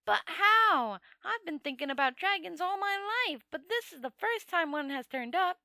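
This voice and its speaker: A woman reader puts on an earnest, naive man from a fantasy tale. He sounds high, bright and nervous, hesitating politely as he speaks. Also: expressive, moderate pace, no room sound, studio quality, full character voice. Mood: bewildered, earnest.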